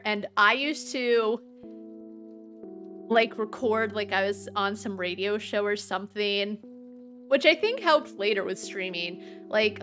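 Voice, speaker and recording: A woman in her thirties streaming a game, with a dry, sometimes monotone-sounding voice, chatting and rambling. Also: mid-range voice. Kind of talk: casual gameplay commentary. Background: faint game music.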